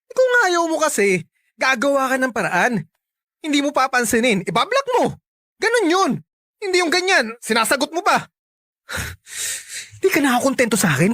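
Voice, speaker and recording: Jealous, animated Filipino lover. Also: female voice, high-pitched, normal speed, pauses often, dry recording, studio quality. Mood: jealous, angry. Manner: interrogating, insistent. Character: jealous, possessive young Filipino lover, quick to accuse. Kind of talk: Filipino radio drama acting.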